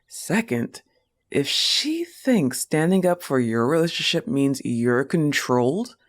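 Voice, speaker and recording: A woman's voice: very deep voice, heavily acted. A woman voicing a protective, affectionate young goth wolf-girl girlfriend in an intimate audio roleplay, coaxing and reassuring the listener with a firm manner.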